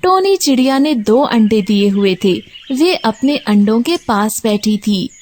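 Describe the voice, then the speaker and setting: A woman tells a scripted story for children as its narrator. Her voice is high and she takes her time, reading slowly. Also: lively intonation, a little mellow, slight background noise.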